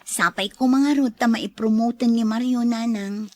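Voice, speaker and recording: An actress with an Ilocano Filipino accent playing an affectionate, hopeful wife in a radio drama. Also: female voice, a little high, fairly even intonation, measured pace, warm tone, lightly acted. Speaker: actor in an Ilocano audio drama. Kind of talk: acted radio drama dialogue.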